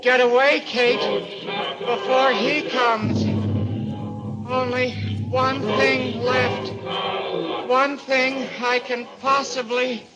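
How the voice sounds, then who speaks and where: A man's voice: extremely high pitch, little pitch movement, drawn-out words, pauses often, highs cut off, over loud music, heavily acted. An actor playing an entranced, possessed man intoning incantations to summon a demon god in a 1940s radio drama. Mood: anguished, torn.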